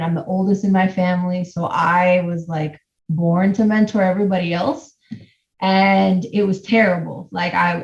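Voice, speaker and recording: A woman answering candidly and bluntly as a panelist. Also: lowish voice. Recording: echoey dull lo-fi sound.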